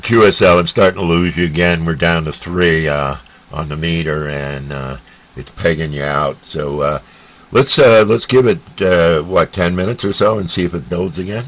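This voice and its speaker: A North American man chatting casually as a CB radio operator, in a halting, laid-back way. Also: steady tone, a bit bright. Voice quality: deep. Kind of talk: casual two-way radio chat. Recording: faint static and radio hiss.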